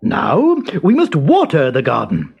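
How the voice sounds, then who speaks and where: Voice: mid-range voice, wildly swooping pitch, a little slow, gravelly, slightly rough, dull audio, heavily acted. A performer voicing a male lion, the leader of the animals, firm and emphatic with a growl. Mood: commanding.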